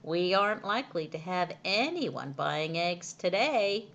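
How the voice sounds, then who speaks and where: A woman's voice: on the low side, lively intonation, a little slow, creaky, fairly bright tone, lo-fi sound, exaggerated voice. A woman voicing a practical male farmer from a children's storybook in a matter-of-fact way. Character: practical male farmer puzzled by his birds. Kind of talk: children's storybook character reading.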